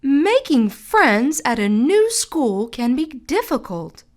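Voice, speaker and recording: A male narrator voicing a gentle mother who reassures her daughter in a soothing way. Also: very high-pitched, big pitch swings, slow, voice pushed up, heavily acted.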